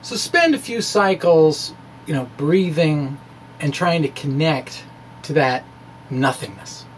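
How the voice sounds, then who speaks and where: A middle-aged man giving a dramatic reading of a science blog, with deliberate, emphatic phrasing and informal asides. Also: on the high side, lively intonation, a little hiss or hum. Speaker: presenter, science blogger.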